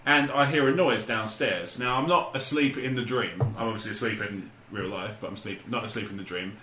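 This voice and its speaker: A man's voice: fairly low pitch, brisk. A man chatting casually as a podcast host among friends, telling stories in a sweary, joking way. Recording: echoey room, faint background noise.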